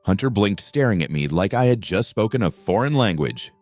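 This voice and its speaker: A man's voice: lowish voice, lively intonation, brisk, no echo. A young man giving scripted first-person story narration in an introspective, wry manner. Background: soft music.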